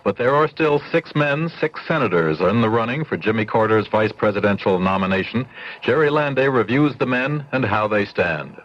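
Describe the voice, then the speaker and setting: A man reporting political news on the radio in a relaxed, slightly searching way. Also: on the low side, moderate pace. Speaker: news correspondent. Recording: dull lo-fi radio broadcast.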